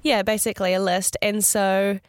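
A woman's voice: mid-range voice, level tone, normal speed, dry recording. Woman with a cold, chatty podcast co-host. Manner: chatty, upbeat, thinks aloud with fillers. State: nasal from a cold. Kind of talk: casual podcast chat.

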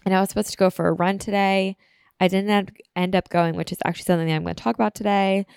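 A woman's voice: mid-range voice, flat delivery, quick pace, vocal fry, a little mellow, clean tone, no room sound, studio quality. A woman in her twenties hosting her own podcast alone, rambling casually with lots of fillers, a little sniffly from a cold. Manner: rambling, chatty, filler-heavy. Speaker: podcast host. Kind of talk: casual solo podcast chat.

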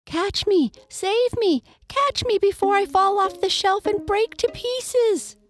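A tiny, nervous toy mouse from a children's storybook. The voice is squeaky and sky-high in pitch. She pleads and frets in an exclaiming, girlish way. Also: slow. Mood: frightened, pleading.

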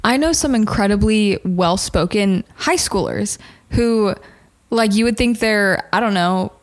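A young woman, a pastor, appearing as a podcast guest and making calm, reasoned points in casual chat. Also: medium pitch, unhurried.

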